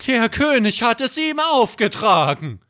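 A man voicing an excitable talking horse, a humble straw gatherer, in a dramatic, exclamatory way for a children's bedtime story. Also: very high-pitched, measured pace, no room sound, clean studio sound, pitched above the performer's own voice, full character voice. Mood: earnest.

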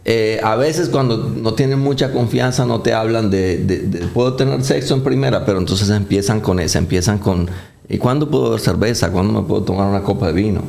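A man's voice: fairly low pitch, creaky, a little mellow. A Colombian doctor with a slight accent, talking through his career as an interview guest with many fillers and restarts. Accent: Colombian, softened by years in the Dominican Republic. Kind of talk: casual interview talk.

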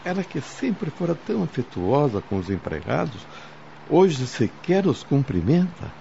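Gravelly, loyal chauffeur. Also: male voice, a little high, very animated, unhurried, warm tone, heavily acted. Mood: pitying, wistful. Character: loyal, sorrowful, timid chauffeur. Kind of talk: radio soap opera acting. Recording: dull noisy audio, car ambience.

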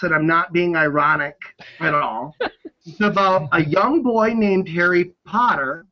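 A man's voice: high voice, lo-fi sound. A man talking casually on a film panel, rambling with a fan's passionate enthusiasm. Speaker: podcast panelist on films.

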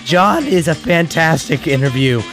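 A man hosting his podcast, hoarse from illness, chatting and laughing through the intro. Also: on the high side, expressive, unhurried, a bit bright, faint music behind. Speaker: podcast host. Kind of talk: casual show intro.

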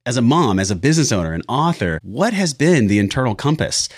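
A man's voice: medium pitch, big pitch swings, quick pace, breathy edge, no echo, studio quality. A man hosting a conversational interview, putting long, considered questions to his guest. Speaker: interview host.

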